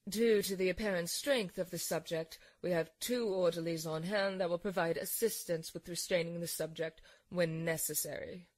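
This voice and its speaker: Composed research nurse played by a woman: lowish voice, measured formal reporting, close dry recording, horror audio drama. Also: steady tone, a little mellow, no echo, natural voice. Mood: clinical, composed. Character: clinical, composed female nurse reporting on a subject.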